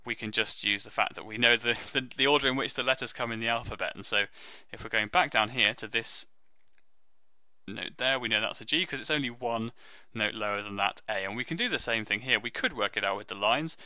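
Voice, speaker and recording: A man with a low, deep voice teaching music theory in an online lesson, explaining step by step in a friendly, self-deprecating way. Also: fairly quick, sharp tone, no room sound.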